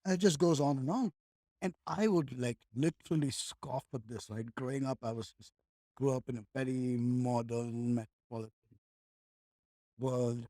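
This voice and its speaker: Rambling man with mellow tone. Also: mid-range voice, moderate pace, no echo, clean studio sound. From India. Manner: rambling, with frequent restarts and "like", "right?". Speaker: podcast guest. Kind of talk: reflective conversational chat.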